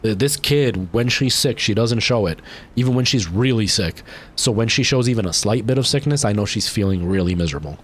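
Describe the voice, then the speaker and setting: A young man casually chatting with viewers as he wraps up a game stream, rambling with lots of fillers and restarts and jumping higher when excited. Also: a little fast.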